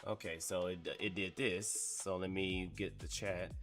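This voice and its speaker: A hoarse man hosting a casual live-stream chat, telling stories in an excitable, slangy way. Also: deep voice, unhurried, soft background music. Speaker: streamer host.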